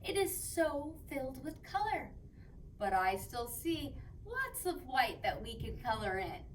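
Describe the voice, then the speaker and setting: A woman playing an excited, friendly character who hosts a children's show and speaks directly to young viewers. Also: high voice, a little slow. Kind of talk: scripted children's show presenting. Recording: distant mic, faint background noise.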